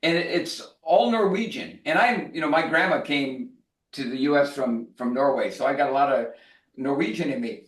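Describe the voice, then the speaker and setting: Man, podcast storyteller, animated, laughs often, roomy distant recording. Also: medium pitch, normal speed. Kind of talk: casual chat and anecdote. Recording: roomy sound, distant from the mic.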